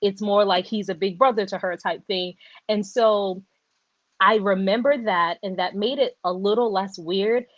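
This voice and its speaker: A woman's voice: medium pitch, normal speed, no room sound. Chatty woman with a dull lo-fi mic. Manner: animated, rambling, self-correcting. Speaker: podcast host. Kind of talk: casual book discussion.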